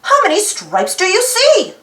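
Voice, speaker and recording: A woman reading a children's storybook aloud in an expressive, sing-song way, putting simple questions to young listeners. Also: very high voice, a little slow, echoey room. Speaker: storybook reader. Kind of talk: children's read-aloud narration.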